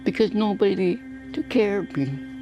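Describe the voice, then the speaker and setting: An older woman from the United States giving halting, hesitant interview testimony. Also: medium pitch, expressive, muffled recording, music in the background.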